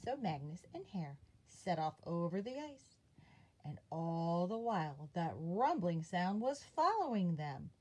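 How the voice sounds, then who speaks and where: A woman narrates a children's picture book at a leisurely pace. Her voice is soft-edged and mellow, a little rough in texture, and swings expressively up and down as she reads. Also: lowish voice, very animated, unhurried. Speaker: library storytime reader.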